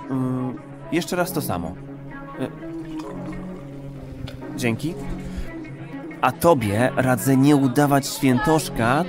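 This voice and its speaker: Casting: cocky, vulgar buddy type for audio drama, lively intonation, music underneath. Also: male voice, medium pitch. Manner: vulgar, cocky, chummy slang. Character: brash, crude, streetwise male regular of a pleasure institute.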